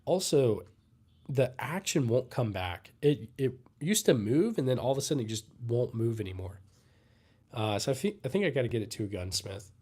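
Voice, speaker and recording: A man's voice: medium pitch, normal speed, slightly rough. A young man who is sick and losing his voice, casually chatting and answering viewers' questions on a livestream. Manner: relaxed, rambling, answers chat comments. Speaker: livestream host. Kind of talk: casual live Q&A chat.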